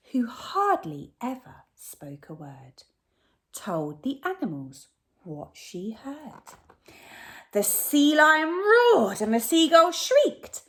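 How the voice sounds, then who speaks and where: A woman teacher reading a rhyming picture book aloud to children, animated, sometimes dropping to a whisper. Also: fairly high pitch, slow.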